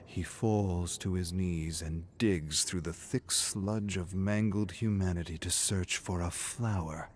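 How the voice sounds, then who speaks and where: A man's voice: deep voice, steady tone, slow pace, hardly any pauses. A man narrating a scripted horror story in a dramatic style, with noise behind him.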